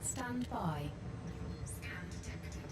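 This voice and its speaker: A woman's voice: lively intonation, extremely slow. A woman voicing an obedient, efficient female starship officer in a sci-fi game, giving clipped procedural reports. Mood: dutiful, efficient. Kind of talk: scripted sci-fi game voice pack. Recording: very noisy game audio, engine rumble.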